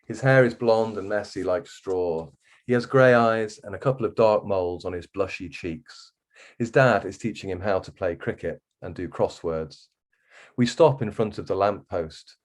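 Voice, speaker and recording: Man, low pitch, author reading aloud, expressive storytelling narration. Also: moderate pace. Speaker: guest author reading from a novel.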